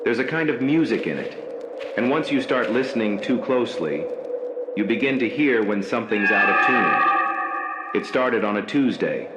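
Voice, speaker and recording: A man playing a haunted night-shift hospital worker, narrating a scripted horror story in slow, measured, ominous phrases. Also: lowish voice, animated, echoey room, loud music behind the voice, natural voice. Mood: grave, uneasy.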